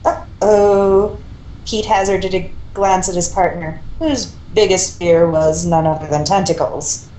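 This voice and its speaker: A woman's voice: lowish voice, steady tone, measured pace, warm tone. A woman reading a story aloud in an amateur style, with casual asides. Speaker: co-reader of fan fiction. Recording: echoey room with faint noise.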